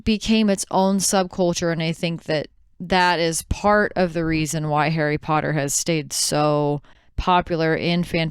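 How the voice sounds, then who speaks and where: Very smooth, clear-voiced woman podcast host. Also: sits low, dry recording, clean studio sound. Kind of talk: casual podcast discussion.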